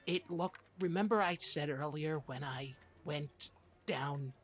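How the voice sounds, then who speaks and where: A podcast co-host telling rambling comic stories in a halting, mock-serious way. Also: mid-range voice. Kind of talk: rambling comedic podcast chat.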